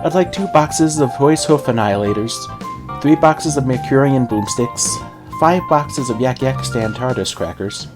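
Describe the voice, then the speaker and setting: A man's voice: mid-range voice, moderate pace, over music, voice pushed up, close to an everyday voice. A man voicing a timid, polite young female pony in a story, hesitant and oddly nonchalant.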